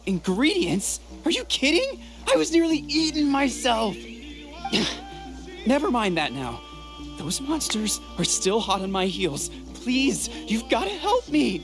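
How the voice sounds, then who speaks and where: A man's voice: extremely high pitch, huge pitch swings, slow pace, vocal fry, full character voice. A man playing a flustered, frightened delivery porter in a video game, hesitant and given to exclaiming. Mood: panicked. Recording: loud background music.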